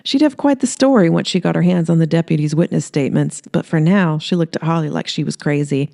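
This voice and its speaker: A woman's voice: low voice, fast talker, gravelly, mellow. A woman reading scripted fiction aloud as a storyteller. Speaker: author reading aloud, host.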